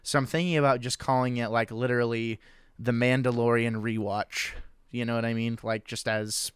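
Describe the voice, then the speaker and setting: A young man hosts a casual podcast in a relaxed, joking way, often starting his sentences over. His voice is smooth and clear at a middle pitch. The sound is close and dry. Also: moderate pace, no room sound. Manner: loose and joking, with many restarts. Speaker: podcast host.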